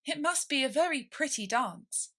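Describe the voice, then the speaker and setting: A woman's voice: high voice, lively intonation, moderate pace, fairly bright tone, pitched above the performer's own voice. A woman voicing a timid, curious little girl in a storybook, polite and a little hesitant.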